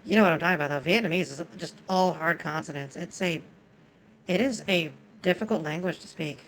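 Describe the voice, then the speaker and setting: Gravelly, low-voiced woman streamer. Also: brisk, fairly bright tone, low-quality recording. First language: Japanese. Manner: rambling, profane, chatty with viewers. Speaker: game streamer. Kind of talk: casual stream commentary.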